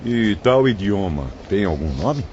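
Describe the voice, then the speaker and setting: Curious male spirit newcomer, acted, wonderstruck, expressive, unhurried, slightly muffled drama audio. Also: lowish voice. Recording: dull lo-fi audio, faint noisy ambience.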